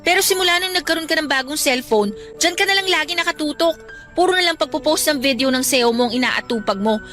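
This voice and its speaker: High, bright, earnest student friend. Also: female voice, quick pace. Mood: concerned, pleading. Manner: earnest, admonishing. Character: earnest, studious, preachy young female best friend. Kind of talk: radio drama acting. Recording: music bed under the voice.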